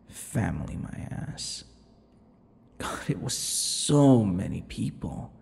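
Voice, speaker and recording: A man playing a brooding, unhinged male vampire in an intimate ASMR roleplay, breathy and halting, often dropping to a whisper. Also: sits low, full character voice. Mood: wistful, bitter. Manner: slow, halting, dramatic pauses and dark chuckles. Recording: long reverberant echo.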